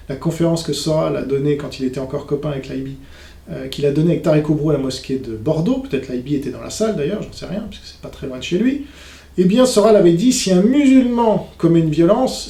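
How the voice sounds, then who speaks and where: A man's voice: on the high side, creaky, mellow. A man giving off-the-cuff polemical commentary in a sarcastic, scornful manner, mimicking the people he criticises. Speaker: commentator reacting to a conference video. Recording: roomy, echoing room sound.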